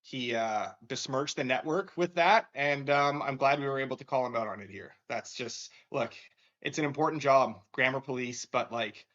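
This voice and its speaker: A man's voice: medium pitch, normal speed, fairly bright tone. A man hosting a casual sports betting show, upbeat and laughing, with many fillers. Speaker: show host. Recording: dull lo-fi sound.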